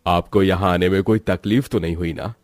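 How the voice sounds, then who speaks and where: A man's voice: low voice, fairly even intonation, brisk, darkish tone, no room sound, close to an everyday voice. A man voicing a proud, formal rich father in a Hindi cartoon moral story. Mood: courteous. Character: proud rich father, class-conscious businessman.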